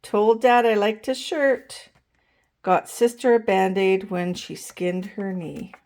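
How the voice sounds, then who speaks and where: A woman reading a children's picture book aloud in an expressive, deliberate way, as if to young listeners. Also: mid-range voice. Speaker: storytime reader.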